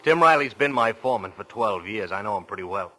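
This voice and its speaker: An actor playing a firm, middle-aged American small-business boss, frank and clipped, easily exasperated, in 1940s radio suspense style. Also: male voice, lowish voice, expressive, fast, pushed and bright, poor audio. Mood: firm, dismissive.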